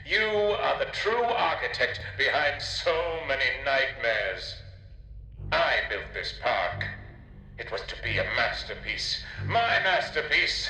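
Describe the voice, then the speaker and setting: A grandiose, bitter showman in a game audio log. He speaks slowly and deliberately with dramatic swoops, on an echoey old tape with music behind. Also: medium pitch, bright, forceful tone, heavily acted. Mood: wounded pride. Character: pompous, proud, bitter male attraction builder.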